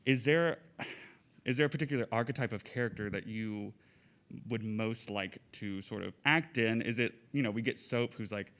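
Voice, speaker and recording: Fairly low-voiced man, casually asking. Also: moderate pace, a bit bright. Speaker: audience member asking a question. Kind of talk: casual unscripted question.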